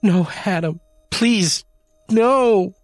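A man's voice: high-pitched, drawn-out words, dry recording, soft background music, pitched above the performer's own voice, exaggerated voice. A man voicing a frantic, grieving woman who pleads and screeches over her kitten. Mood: pleading.

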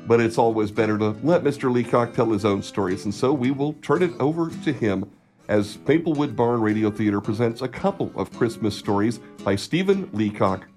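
Male announcer, mid-pitched, scripted radio intro delivery over faint guitar music. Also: animated, moderate pace. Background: soft guitar music.

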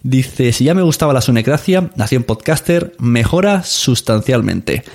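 A man's voice: mid-range voice, lively intonation, moderate pace, vocal fry, a little mellow. A man hosting a podcast in a casual, playful, effusive way, chatting to listeners. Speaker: podcast host. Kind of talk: casual podcast talk, reading reviews.

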